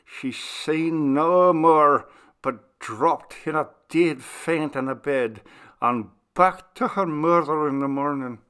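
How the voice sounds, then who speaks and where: A man voicing an old servant woman telling a sorrowful ghost story in a folksy, deliberate way. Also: fairly high pitch, sharp tone, smooth. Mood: grim, pitying. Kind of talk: literary dialogue storytelling.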